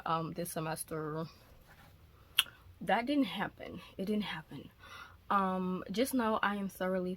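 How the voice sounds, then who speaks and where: A young woman hosting her faith vlog in a relaxed, rambling way, sounding nasal from a cold coming on. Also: on the low side. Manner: relaxed, rambling, many ums. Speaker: YouTube ministry host. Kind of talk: casual chatty vlog.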